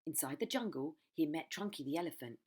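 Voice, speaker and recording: Husky, low-voiced woman reading a storybook. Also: lively intonation, fairly quick, darkish tone. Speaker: primary school storytime reader. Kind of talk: expressive children's storybook narration.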